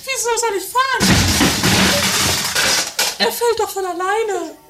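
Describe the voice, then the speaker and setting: A woman's voice: very high voice, slow pace, over loud music. A woman playing a scatterbrained, dramatic castle-dwelling sister in a comedy radio play, naive and theatrical. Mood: breezy.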